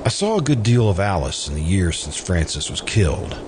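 An actor playing an earnest, hesitant man in love in an old-time radio drama, speaking deliberately and wistfully. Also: sits low, very animated, background noise. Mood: wistful, reflective. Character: earnest man in love with best friend's widow.

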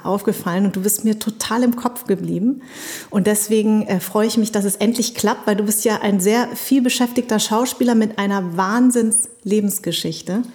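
A woman's voice: mid-range voice, moderate pace, a little mellow. Chatty encouraging woman podcast host. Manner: encouraging, chatty, with many fillers. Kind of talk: casual interview chat.

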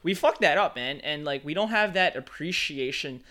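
Bright-voiced laid-back guy from the Philippines. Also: fairly high pitch, lively intonation, normal speed. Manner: laid-back, many fillers like "like" and "man". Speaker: photographer. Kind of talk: casual chat.

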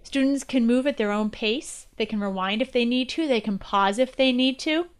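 Female podcast presenter, higher-pitched and very clear-voiced, delivering scripted educational narration in a presentational manner. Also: moderate pace. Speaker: guest podcast host.

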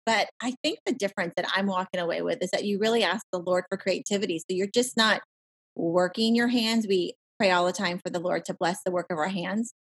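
A woman's voice: lowish voice, brisk, slightly rough. A woman hosting a podcast, chatting in an upbeat, encouraging way with her guest over a video call. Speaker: podcast host. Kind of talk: casual interview chat.

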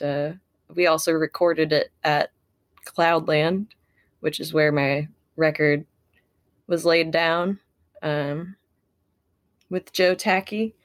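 A woman's voice: low voice, no room sound. A young adult American woman chatting casually as an interview guest, a singer-songwriter. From Ocala, Florida, United States.

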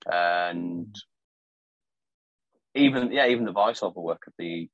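A man's voice: low-pitched, a little slow, no room sound. A man co-hosting a film podcast, chatting casually in short, piecemeal phrases, on dull audio. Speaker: film review podcast co-host.

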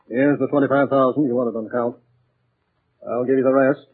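An actor playing a brusque, wealthy client in a 1940s radio drama, clipped and demanding. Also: male voice, mid-range voice, fairly even intonation, normal speed, breathy edge, close to an everyday voice. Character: brusque, wealthy male client arranging fake death. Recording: muffled lo-fi 1940s radio recording.

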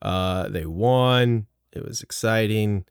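A man's voice: on the low side, slow pace, clean tone, no echo, studio quality. A man co-hosting a podcast in casual banter, teasing and sarcastic, a bit nasal from a cold. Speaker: podcast co-host.

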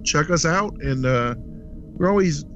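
A man's voice: on the high side, expressive, slow pace, muffled recording, soft background music. A man as a guest on a podcast, chatting loosely and laughing at himself. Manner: laughs easily, loose and self-mocking. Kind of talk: casual podcast chat.

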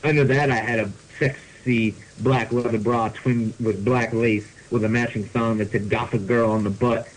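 A man's voice: medium pitch, fairly even intonation, normal speed, few pauses, slight background noise, low-quality recording. A man hosting a podcast, reading fan fiction aloud comically with mock seriousness and casual asides. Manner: mock-serious delivery, often breaking into laughter. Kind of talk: comedic dramatic reading with asides.

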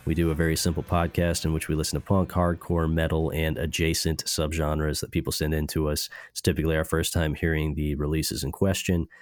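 A man's voice: a bass voice, flat delivery, brisk, hardly any pauses, no echo. A young man hosting a casual music podcast, a little stuffed up from allergies, rambling loosely with lots of fillers. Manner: rambling, laid-back, many filler words. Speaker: podcast host.

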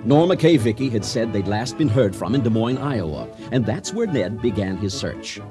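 An actor playing a pompous, effusive storyteller and ceremony announcer in a mock-dramatic radio play. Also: male voice, fairly low pitch, sing-song, normal speed, over music. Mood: mock solemn. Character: grandiose, excitable male storyteller and ceremony announcer.

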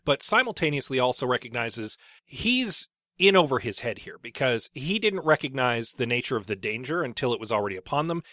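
A man's voice: mid-range voice, dry recording, clean studio sound. Congested man recapping a book. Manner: recapping chapters in a relaxed, wry way. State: congested with allergies. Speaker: podcast co-host. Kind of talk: casual book discussion podcast.

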